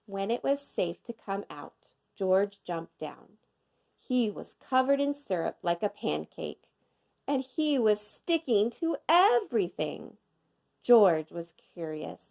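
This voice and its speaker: A woman narrates a picture book for young children in an expressive, teacherly way. Her pace is slow and her pitch moves freely, with a creaky edge to the voice. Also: dull audio. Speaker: kindergarten teacher reading aloud. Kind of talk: scripted children's storybook narration.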